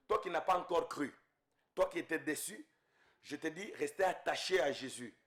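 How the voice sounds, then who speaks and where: A man's voice: fairly high pitch, fairly bright tone. A man from Congo leading an intense spoken prayer as a preacher, fervent and repeating invocations, his voice rising and falling in waves.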